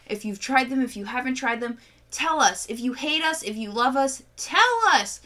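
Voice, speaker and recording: A woman's voice: high-pitched, lively intonation, moderate pace, sharp tone. A young woman co-hosting a casual beer-tasting podcast, joking and swearing freely as she rates beers. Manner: swears freely, loose and playful. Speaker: podcast co-host.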